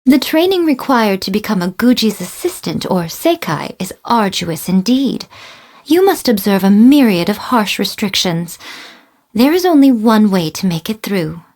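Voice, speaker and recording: A sly fox-spirit shrine priestess from a video game, speaking at a medium pitch. She is refined and haughty, with a smug, teasing playfulness in her theatrical delivery. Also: big pitch swings, moderate pace, darkish tone. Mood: instructive, sly. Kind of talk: scripted video game character voice lines.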